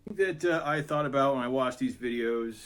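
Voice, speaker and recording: A man's voice: medium pitch, normal speed, a bit bright. Hesitant self-mocking man in his thirties. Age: 30s. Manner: self-deprecating, hesitant with many "uh"s. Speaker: YouTuber. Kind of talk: casual vlog monologue.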